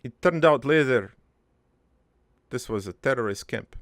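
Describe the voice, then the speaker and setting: A man playing a gruff, crude army veteran turned prankster, telling his regretful life story in a halting, matter-of-fact ramble for an improvised cartoon monologue. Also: medium pitch, expressive, no echo, clean studio sound.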